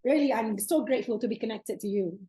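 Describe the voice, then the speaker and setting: A young woman host with a fairly high, mellow voice speaks warmly and effusively. She restarts sentences often and gestures as she talks over a webcam video call from her home. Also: quick pace. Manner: effusive, many fillers and restarts, hand gestures. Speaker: podcast host. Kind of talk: casual video call interview. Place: bright home room indoors.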